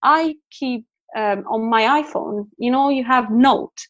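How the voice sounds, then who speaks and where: A woman's voice: fairly high pitch, unhurried, lo-fi sound. A woman from Italy who speaks Italian natively, chatting as a guest about how she learns English, with frequent fillers and restarts. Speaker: guest interpreter. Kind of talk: casual chat. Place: London.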